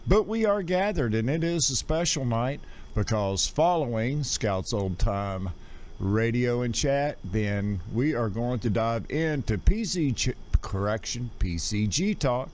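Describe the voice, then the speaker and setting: Male livestream radio host, medium pitch, folksy and informal, chatting to listeners, slight background noise. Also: lively intonation, unhurried, a little mellow. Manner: folksy, addresses listeners as gang. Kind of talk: casual livestream chat greeting listeners.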